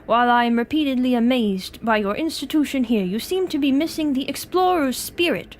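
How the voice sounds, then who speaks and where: A woman voicing a pompous, blustering gentleman explorer who is really a sea slug, with an attempted British accent. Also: sits high, animated, a little fast, a little hiss or hum. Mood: indignant, proud. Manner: pompous, declamatory, theatrical.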